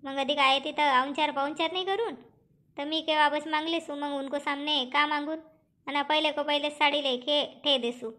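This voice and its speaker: A woman acts out a cartoon village farm woman with an extremely high, piercingly bright voice. She is talkative and plaintive, haggling in a rural Powari accent. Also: steady tone, normal speed. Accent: Powari, eastern Maharashtra and Balaghat region. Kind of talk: cartoon comedy dialogue.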